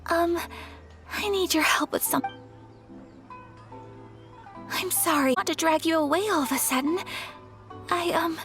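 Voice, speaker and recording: A woman playing a polite, gentle young noblewoman in a video game, earnest and softly formal. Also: extremely high pitch, unhurried, long pauses, soft background music, exaggerated voice. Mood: flustered, apologetic.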